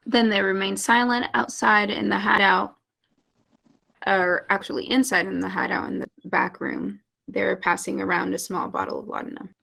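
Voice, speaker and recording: A woman narrates stage directions at a table read. Her voice is mid-pitched and heavily creaky, with a great deal of vocal fry. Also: moderate pace, sharp tone, rough recording.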